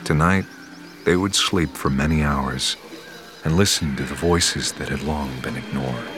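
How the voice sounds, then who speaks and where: Haunted male survivor-narrator for audio drama: extremely low, grave, deliberate, under loud score. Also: loud music behind the voice. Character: haunted, sleepless man narrating his own survival story.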